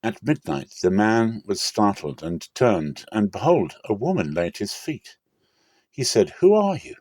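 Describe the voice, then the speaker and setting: A man with a fairly low voice narrates scripture in a measured, solemn way. The reading is scripted and dramatic, and the recording is very dry and close. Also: very animated, a little mellow, no echo.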